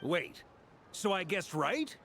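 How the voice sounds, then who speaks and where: Lively, curious young adventurer. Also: male voice, high-pitched, slow, gravelly, a little hiss or hum, heavily acted. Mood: excited. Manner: casual, expressive. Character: youthful, curious, eager male adventurer. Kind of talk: video game voice acting.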